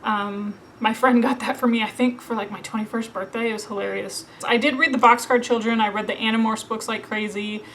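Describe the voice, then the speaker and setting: Woman, 30s, from Minnesota, slightly high voice, casual filler-heavy vlog chat, faint noise. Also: steady tone, brisk, few pauses, fairly bright tone, rough recording. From Minnesota, USA. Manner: chatty, filler-heavy, laughs often. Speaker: book vlogger. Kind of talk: casual Q&A to camera.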